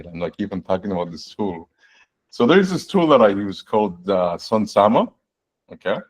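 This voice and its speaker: A man in his forties, a guest on a video-call fireside chat, explaining his work in a relaxed way with frequent restarts and "right?". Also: deep voice. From born in the United States, raised in Saudi Arabia and Canada. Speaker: guest, fractional CTO.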